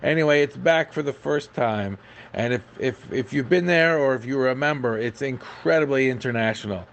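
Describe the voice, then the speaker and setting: A man's voice: mid-range voice, normal speed, creaky, a little hiss or hum. A man giving an informal podcast report on local events, chatty and enthusiastic, with frequent hesitations.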